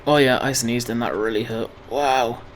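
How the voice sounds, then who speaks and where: A man with a slightly croaky voice giving rambling, chatty gameplay commentary, often correcting himself mid-sentence. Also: mid-range voice, steady tone, normal speed, a little hiss or hum. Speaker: gaming video host.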